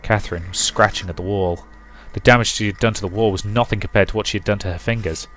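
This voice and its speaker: Low-voiced man reading a story aloud. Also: steady tone, fast talker, a bit bright, soft background music. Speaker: let's player reading a visual novel aloud. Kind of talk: dramatic storybook-style narration with commentary.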